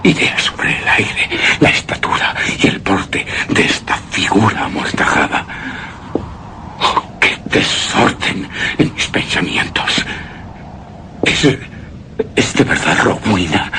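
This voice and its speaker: An actor playing a terrified, anguished widower in a gothic horror radio drama, speaking theatrically in halting, repeated phrases. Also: male voice, lowish voice, big pitch swings, very noisy. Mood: feverish wonder. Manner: halting, theatrical, repeats words, breaks into hushed gasps. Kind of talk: Spanish-language horror radio drama monologue.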